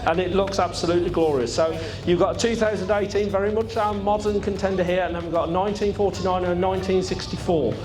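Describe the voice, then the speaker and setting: A man's voice: sits high, fairly even intonation, fast, hardly any pauses. A man compering an outdoor car show award, coaxing and rallying the crowd, with a chesty cough. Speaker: car show presenter and video host.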